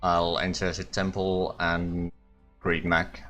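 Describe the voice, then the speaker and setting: A man's voice: sits low, completely flat delivery, takes it slowly, a bit bright, soft background music. A man voicing a secretive, evasive male agent with a put-on Russian accent. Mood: guarded. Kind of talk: tabletop roleplay in character.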